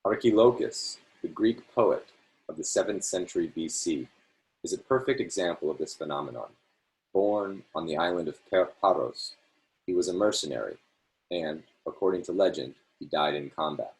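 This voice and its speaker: Man, very deep voice, reading an essay aloud, measured phrasing, video call. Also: low-quality recording. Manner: measured, phrase-by-phrase delivery with small self-corrections. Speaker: reader hosting a reading series.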